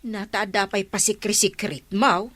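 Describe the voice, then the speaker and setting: An unhurried, mid-pitched village neighbor with an Ilocano accent. She is nosy and coaxing, pressing her questions in comic radio drama style. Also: steady tone, fairly bright tone. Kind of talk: comedy radio drama acting.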